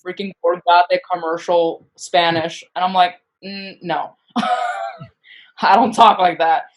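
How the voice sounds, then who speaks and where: A woman in conversation as a podcast guest, animated and self-deprecating, with quick asides. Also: fairly low pitch, poor audio. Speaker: podcast guest, actor and comedian. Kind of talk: casual interview chat.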